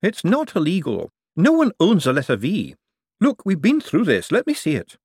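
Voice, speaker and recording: A man voicing an anxious young man, pitched higher and strained. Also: wildly swooping pitch, moderate pace, a little mellow, slightly rough, dry recording, clean studio sound, full character voice. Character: nervous young man buying a fake.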